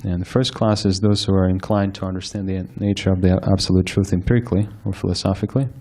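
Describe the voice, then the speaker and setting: A man giving a scripture lecture, soft-spoken and hesitant, with frequent fillers and restarts. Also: deep voice, level tone, fast. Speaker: speaker giving a scripture class. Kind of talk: sermon-style lecture. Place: temple hall with live audience.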